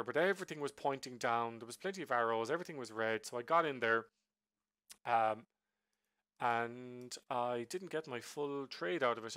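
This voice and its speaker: Bright-voiced man rambling through a trade recap. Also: medium pitch, no room sound. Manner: rambling, many ums and self-corrections. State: sick with a flu, voice recovering. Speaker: day trader reviewing his trades. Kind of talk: casual screen-share trade recap.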